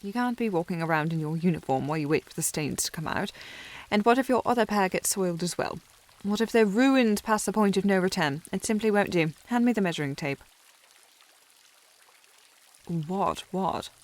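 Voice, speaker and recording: A woman's voice: medium pitch, lively intonation, moderate pace, natural voice. A woman playing a snobbish, teasing young lady with haughty, formal phrasing in a historical romance ASMR roleplay. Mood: bossy, fussing. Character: snobbish, teasing young upper-class lady, secretly fond.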